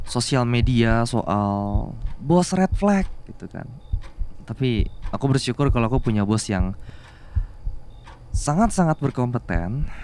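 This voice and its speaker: Guy acting as shy indonesian office boyfriend, soft n doting asmr roleplay, talks slow and hesitant, noisy background. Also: mid-range voice. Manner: hesitant, halting, softly affectionate. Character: shy, adoring young office worker, boyfriend of his boss. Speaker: voice actor in an audio roleplay. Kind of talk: intimate ASMR romance roleplay.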